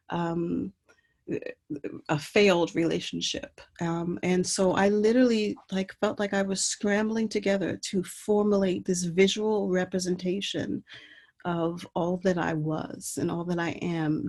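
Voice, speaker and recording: Low, flat-voiced woman presenting her art. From Puerto Rico. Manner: hesitant, many ums and restarts, reflective. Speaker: artist presenting her work. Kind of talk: walking through slides in an online artist talk. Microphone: computer mic on a video call.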